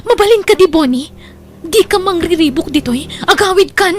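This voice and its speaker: Very high-pitched scheming girlfriend. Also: animated, moderate pace, over music. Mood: annoyed. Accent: Filipino. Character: scheming, money-demanding young girlfriend, sweet to suitors. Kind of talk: Ilocano radio drama acting.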